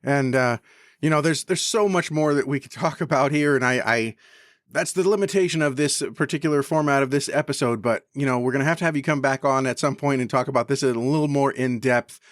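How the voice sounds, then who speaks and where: A man's voice: medium pitch, fairly quick, dry recording. A man with a mid-range voice works as a podcast host. He talks in a casual, conversational interviewing style. The sound is dry, with little trace of room.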